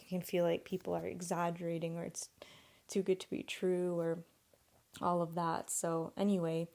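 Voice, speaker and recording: A woman's voice: lowish voice, darkish tone. A young woman whose first language is Urdu, hosting a solo podcast and thinking aloud in an earnest, rambling way. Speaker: podcast host.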